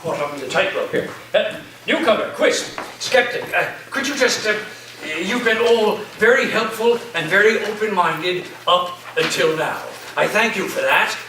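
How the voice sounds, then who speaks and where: A man's voice: high voice, lively intonation, normal speed. A man playing an eccentric college professor in a staged play reading, formal, theatrical and earnest in delivery. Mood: polite, distracted. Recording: echoey room from far off, noisy.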